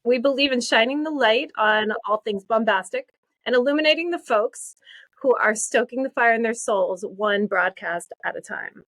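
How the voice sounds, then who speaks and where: A woman's voice: on the high side, normal speed, fairly bright tone, no room sound, low-quality recording. A woman co-hosting a podcast, introducing the guest with flowery, effusive phrasing and then chatting casually. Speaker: podcast co-host. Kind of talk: reading a show intro, then casual chat.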